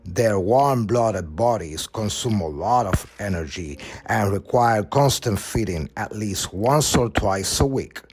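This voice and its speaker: A man's voice: fairly low pitch, breathy edge. A man reading scripted narration in the style of a nature documentary.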